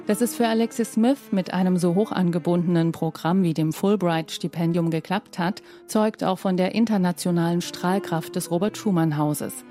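A woman narrating a broadcast news feature in a measured, scripted way. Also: lowish voice, mellow. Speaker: news reporter.

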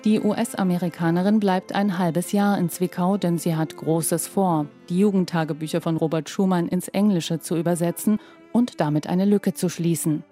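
A woman's voice: lowish voice, fairly even intonation, moderate pace, dark tone, faint music behind. A woman reporter reading scripted narration for a news feature.

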